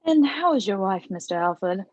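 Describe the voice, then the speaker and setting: A woman's voice: mid-range voice, very animated, normal speed, a little mellow, no echo, muffled recording. A woman playing an arrogant aristocratic mother in her sixties, speaking with polished menace in a comedy table read. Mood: coolly threatening. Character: arrogant aristocratic sixty-ish mother, steely, conniving, falsely polite.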